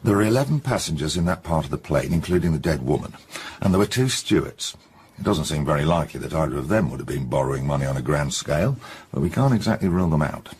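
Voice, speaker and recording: An actor playing a gruff, no-nonsense middle-aged English chief inspector in a radio detective drama, blunt and colloquial. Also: male voice, rumbling low, lively intonation, a little mellow, heavily acted. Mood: sceptical. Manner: blunt, colloquial, brisk summing-up.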